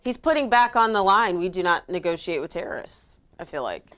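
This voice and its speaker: A woman co-hosting a casual political talk show, chiming in with quick quips and agreement. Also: lowish voice, animated, fairly bright tone. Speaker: co-host. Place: lives in Florida.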